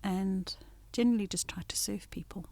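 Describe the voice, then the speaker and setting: A woman's voice: medium pitch, moderate pace, vocal fry, warm tone. A woman in her sixties, a business administrator, introducing herself in a casual family interview with teasing, playful humour.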